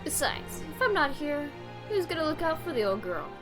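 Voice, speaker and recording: A woman's voice: high-pitched, big pitch swings, moderate pace, over music, pitched above the performer's own voice. A woman reading game dialogue as a cocky young man who plays it cool. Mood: cool, nonchalant. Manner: laid-back, swaggering.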